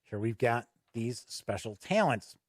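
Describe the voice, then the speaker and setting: A man hosting an unscripted review walk-through, explaining things in a measured way with deliberate pauses. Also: medium pitch, lively intonation, dry recording, studio quality. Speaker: host and reviewer.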